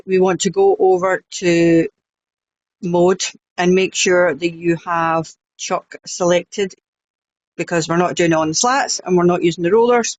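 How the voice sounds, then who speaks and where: A woman's voice: fairly low pitch, dry recording. A woman with a Scottish accent, chatting her way through a step-by-step crafting tutorial with blunt, frank asides. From Scotland.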